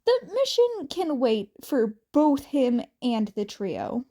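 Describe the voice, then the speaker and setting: A woman's voice: high voice, big pitch swings, slow, mellow. A woman reading a story aloud as scripted narration with character dialogue, as an amateur podfic reader.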